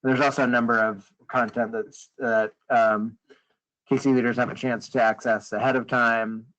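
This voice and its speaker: A man leading a conference call, briefing informally in a hesitant, halting way and often rephrasing mid-sentence. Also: mid-range voice, flat delivery, fairly bright tone. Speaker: meeting leader on a conference call. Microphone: conference call line. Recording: dull, lo-fi conference call audio.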